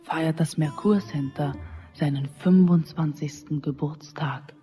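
An actress playing a shopping centre announcer reading a scripted promotion in a radio drama. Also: female voice, low-pitched, fairly even intonation, unhurried, mellow, faint music behind. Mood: promotional.

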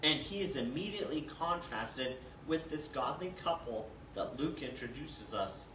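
An older man preaching a sermon as a pastor, reading scripture and explaining it in a measured, emphatic way. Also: fairly high pitch, lively intonation. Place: small church sanctuary, indoors. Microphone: far camera. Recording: roomy, distant mic, faint noise.

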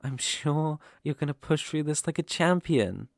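A British man playing a gentle, protective werewolf mentor in an ASMR roleplay, speaking softly, tenderly and reassuringly. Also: a little high, expressive, vocal fry, no echo, studio quality. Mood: tender, comforting. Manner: soothing, unhurried, tender.

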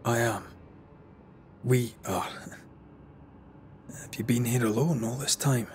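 A man's voice: medium pitch, slow pace, darkish tone, a little hiss or hum, lightly acted. A man playing an earnest, troubled ghost hunter in a dramatic game, speaking plainly and sombrely. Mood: gentle.

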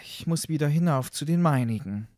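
A man's voice: on the high side, expressive, a little fast, darkish tone, no echo, clean studio sound, pitched above the performer's own voice, lightly acted. A man voicing a meek young girl, polite, hesitant and earnest, in a storybook reading. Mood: homesick, earnest.

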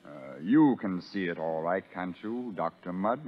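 An actor playing a sadistic, vengeful prison sergeant who taunts and barks orders in a 1930s radio drama. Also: male voice, low-pitched, expressive, a little slow. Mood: gloating, taunting. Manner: menacing, taunting, barking orders. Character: sadistic, vengeful male prison sergeant bullying new convicts. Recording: narrow muffled 1930s radio broadcast, reverberant.

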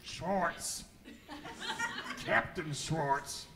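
A man's voice: on the high side, very slow, bright, forceful tone, slight background noise, heavily acted. A man playing a terse, sinister cruise ship captain in a radio skit. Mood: ominous. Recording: echoey hall.

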